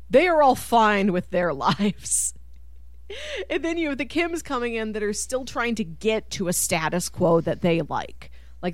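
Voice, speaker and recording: Lively woman chatting casually about film. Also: medium pitch, no room sound. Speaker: podcast co-host. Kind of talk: casual film discussion chat.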